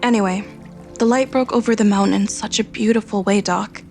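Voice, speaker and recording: An actress plays a withdrawn young woman with a touch of vocal fry, in a naturalistic audio drama. She sounds subdued and wistful, yet determined to go her own way, with soft music behind her. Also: mid-range voice, fairly even intonation, brisk, close to an everyday voice. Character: restless young woman with newfound powers, withdrawn and defiant.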